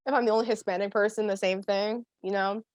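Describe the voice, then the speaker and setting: A woman's voice: mid-range voice, steady tone, fast talker, a little mellow. A teenage girl, a guest, chatting casually with many fillers and laughs. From United States. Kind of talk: casual chat.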